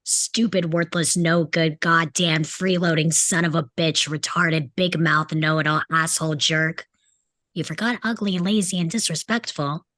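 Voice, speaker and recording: A woman's voice: sits low, fairly even intonation, unhurried, a bit bright, dry recording. Woman playing a bitter, mouthy teenage delinquent boy, dry sound. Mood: furious mocking. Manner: sarcastic, aggressive, mimics parents in mock voices. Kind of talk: table read of a film script.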